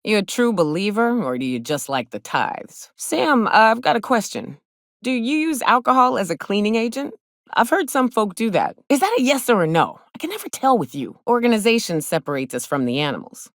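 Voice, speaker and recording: An actress playing a blunt, hard-drinking frontier monster hunter in a video game, with a wry, folksy way of talking. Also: female voice, on the high side, big pitch swings, fairly quick, no echo, studio quality. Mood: wry, probing. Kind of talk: video game companion dialogue.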